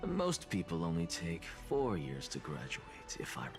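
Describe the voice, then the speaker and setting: Deep dry sarcastic professor, slow, lecturing, faint game music. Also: male voice, huge pitch swings, exaggerated voice. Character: sardonic older male professor, dry and demanding. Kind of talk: video game dialogue.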